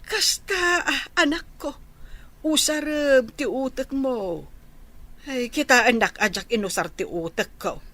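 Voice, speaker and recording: A woman's voice: high voice, measured pace, sharp tone, heavily acted. A woman acting a regretful, nagging village mother in a radio drama, with an Ilocano accent. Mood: rueful.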